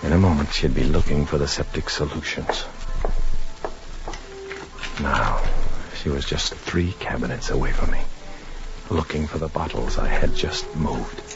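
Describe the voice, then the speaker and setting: An actor playing a tense undercover infiltrator, narrating in a clipped, dramatic 1950s radio drama style. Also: male voice, a bass voice, a little slow. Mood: suspenseful. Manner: clipped, dramatic, hard-boiled delivery. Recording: muffled 1950s radio recording with music.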